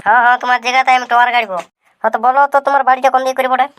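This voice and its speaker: A brash, fast-talking village cartoon caller, played by a man with a Purulia Bengali accent. The voice is pitched high and rushes through rough, swearing lines. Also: little pitch movement, a bit bright. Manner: brash, quick rustic talk with swearing. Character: pushy village phone-company caller and friend.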